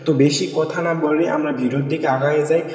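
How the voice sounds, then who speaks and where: Distant reverberant recording, higher-pitched man, Bangladeshi accent, informal tutorial host, repetitive instructions, English tech terms. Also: fairly even intonation, fairly quick, darkish tone. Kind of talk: informal step-by-step screen tutorial. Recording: echoey, reverberant, distant from the mic.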